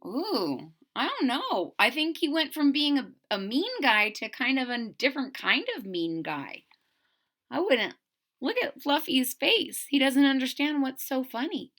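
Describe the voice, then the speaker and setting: A woman reads a children's story aloud in a high, bright voice. Her pitch rises and falls in a very animated way, with dramatic emphasis and chatty asides to her young listeners. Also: measured pace.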